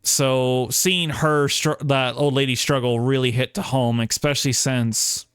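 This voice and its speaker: A man chatting casually as a game streamer, informal and rambling, often halting mid-thought. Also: medium pitch, fairly even intonation, fairly bright tone, smooth, no room sound, clean studio sound. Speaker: video game livestreamer. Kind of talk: casual livestream chat.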